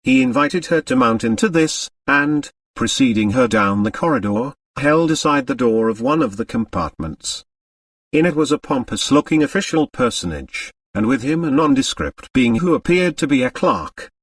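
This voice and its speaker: A man's voice: medium pitch, big pitch swings, moderate pace, gravelly, dry recording, distant mic. A man reading scripted literary narration as an audiobook narrator.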